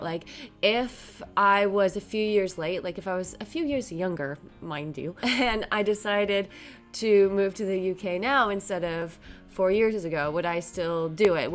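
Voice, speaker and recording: A young Canadian woman with a stuffy nose from a cold, talking casually to camera in a rambling, chatty vlog, with fillers and small laughs. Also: mid-range voice. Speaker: vlogger.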